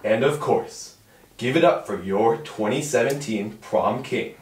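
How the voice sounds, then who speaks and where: A young man playing an excitable prom DJ announcing king and queen at a script read-through, in an echoey room. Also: medium pitch, unhurried, close to an everyday voice. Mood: showy announcing. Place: home dining room. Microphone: far camera mic. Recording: echoey room, distant mic.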